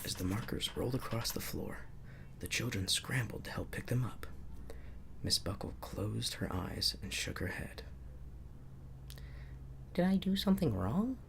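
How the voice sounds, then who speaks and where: A man reading a bedtime story in a hushed, soothing ASMR narration. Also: fairly low pitch, normal speed, creaky. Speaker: storybook reader.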